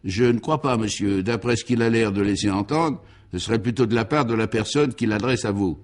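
A man's voice: fairly low pitch, brisk, close to an everyday voice. A man voicing a deferential, wordy male hotel valet in a comic radio serial. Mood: polite, hesitant. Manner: deferential, long-winded, circling phrases.

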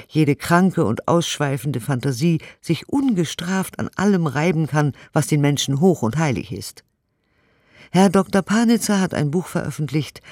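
A man's voice: a little high, a little mellow, no echo. A man giving a formal, articulate, declamatory reading of old letters and reviews for a radio play. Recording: studio radio production with music.

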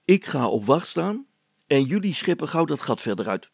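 Man as a gravelly, bossy village policeman. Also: a little high, sing-song, normal speed, dry recording, full character voice. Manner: colloquial, dismissive, self-important.

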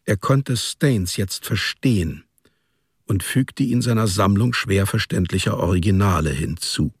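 Low, husky male storyteller, closely recorded. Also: unhurried, no echo, clean studio sound. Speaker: narrator. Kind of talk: literary scripted narration in a radio drama.

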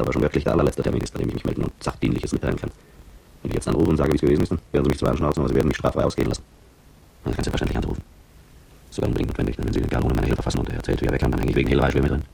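An actor playing an anxious, bitter petrol station attendant, brooding and accusing himself in a radio drama inner monologue. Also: male voice, extremely low pitch, vocal fry, a little mellow, slightly rough, full character voice, low-quality recording. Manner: brooding, self-accusing, agonised.

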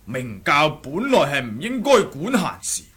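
Husky, swaggering martial-arts fighter. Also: male voice, fairly high pitch, huge pitch swings, measured pace, a bit bright, exaggerated voice. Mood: indignant. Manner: theatrical, taunting, with cackling flourishes. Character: brash mocking martial-arts fighter of the Ming sect. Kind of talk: Cantonese radio drama acting.

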